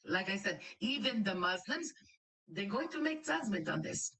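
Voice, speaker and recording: A woman's voice: mid-range voice, sing-song, moderate pace, a bit bright. Indignant Greek woman, slightly distant mic. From Greece. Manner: blunt, indignant, lectures in broken English. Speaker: commentary channel host. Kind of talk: reaction commentary.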